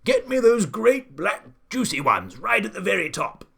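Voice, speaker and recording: A man's voice: high voice, very animated, moderate pace, gravelly, fairly bright tone, breathy edge, voice pushed up, heavily acted. A man voicing a blustering, pompous, hot-tempered old aristocratic duke from a storybook, bellowing his lines. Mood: demanding, impatient. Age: older. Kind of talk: storybook character voice.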